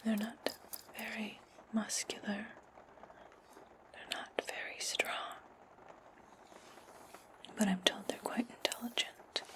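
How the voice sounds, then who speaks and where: Woman playing a whispering, slow-spoken imperious witch. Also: fairly high pitch, flat delivery. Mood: commanding, sly. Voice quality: breathy whisper. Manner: slow, deliberate, condescending. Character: imperious, scheming witch giving orders to her servant. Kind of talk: ASMR fantasy roleplay.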